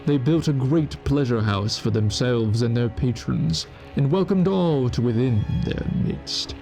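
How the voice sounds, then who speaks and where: A man giving measured, scripted narration as the story's narrator. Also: medium pitch, dark tone, faint music behind.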